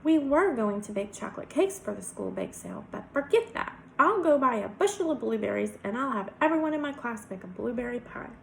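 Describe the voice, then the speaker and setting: A woman voicing a kindly, soft-hearted cooking teacher with a soft Southern American drawl and a gushing manner. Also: a little high, lively intonation, brisk, creaky, few pauses, a little hiss or hum, rough recording. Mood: comforting.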